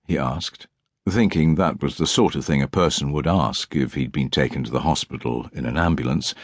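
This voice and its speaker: A man's voice: rumbling low, moderate pace, no room sound. Man narrating, dry close sound. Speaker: audiobook narrator. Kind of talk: scripted literary narration of a crime novel.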